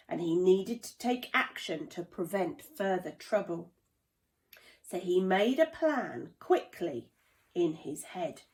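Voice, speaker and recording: A woman reading a children's storybook aloud in a deliberate, dramatic storytelling manner. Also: mid-range voice, a little mellow. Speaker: play therapist reading a children's book. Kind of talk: expressive children's storybook narration.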